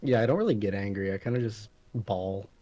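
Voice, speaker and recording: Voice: low voice, a little fast, mellow. A guest on a tarot stream chats in a casual, slang-heavy way. The remarks are wry and joking, delivered as loose everyday conversation. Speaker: guest receiving a tarot reading. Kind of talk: casual chat with internet slang.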